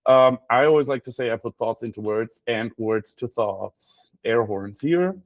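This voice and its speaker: A man's voice: medium pitch, a little slow, dry recording. A man with an Austrian accent giving an informal slide talk in a wry, self-deprecating way. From Graz, Austria. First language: German. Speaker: guest speaker, freelance copywriter.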